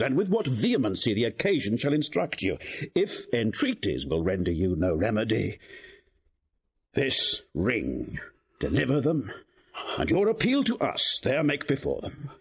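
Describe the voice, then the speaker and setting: Husky, commanding king, wildly expressive. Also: medium pitch, exaggerated voice. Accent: British. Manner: theatrical, emphatic. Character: hearty, commanding, volatile king in his prime. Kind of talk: Shakespearean radio drama acting. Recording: slightly dull audio.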